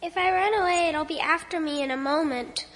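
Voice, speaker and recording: A woman's voice: very high voice, brisk, fairly bright tone, in a large hall, voice pushed up. A woman voicing a frightened, thirsty young schoolgirl who speaks timidly and hesitantly.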